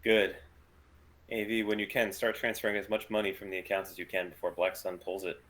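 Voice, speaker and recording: A man's voice: fairly low pitch, level tone, fairly quick, a bit bright, close to an everyday voice. A man playing a guarded, self-doubting starship pilot in a sci-fi table read, understated and reserved. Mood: guarded, weary.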